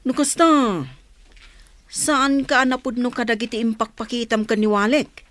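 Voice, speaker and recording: High, dry-sounding Ilocano woman as frank confidant. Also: normal speed, a bit bright, no echo, natural voice. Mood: questioning. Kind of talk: Ilocano radio drama acting.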